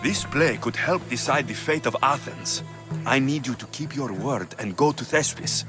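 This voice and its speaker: Male game lead, acted: determined young Greek warrior, medium pitch, terse and serious delivery, backed by music. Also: normal speed, natural voice. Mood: tense, impatient. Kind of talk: video game dialogue acting.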